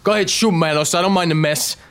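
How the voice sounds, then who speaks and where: Sneering New mob boss. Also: male voice, high-pitched, quick pace, fairly bright tone, clear, resonant tone. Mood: taunting. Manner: slangy, taunting. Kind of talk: period radio drama acting.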